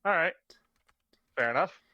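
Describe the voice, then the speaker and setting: A man's voice: a little high, lively intonation, measured pace, pushed and bright, no room sound, muffled recording. A man playing a tabletop game, chatting casually and jokingly in a wry, chuckly way.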